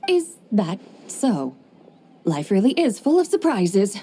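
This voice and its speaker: A woman's voice: medium pitch, big pitch swings, slow pace, mellow, husky, exaggerated voice. A woman voicing a drowsy, formal mystic woman with grand, old-fashioned manners in a game cutscene. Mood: stately.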